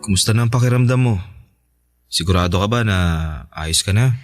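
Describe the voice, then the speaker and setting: A man's voice: lowish voice, fairly quick, faint music behind, lightly acted. Man acting a polite, earnest young Filipino boyfriend. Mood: worried, sad. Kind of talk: radio drama acting.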